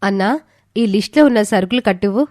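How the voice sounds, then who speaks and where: A woman's voice: medium pitch, fairly quick, darkish tone, dry recording, studio quality, natural voice. A woman playing a worried young wife in a story, earnest, pleading and reproachful.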